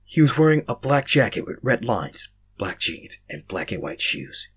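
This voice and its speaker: A young man reading fan fiction aloud, casual in his chat and theatrical in his narration, swinging between hushed and loud. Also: medium pitch, sing-song, normal speed, breathy edge. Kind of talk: casual intro and dramatic story narration.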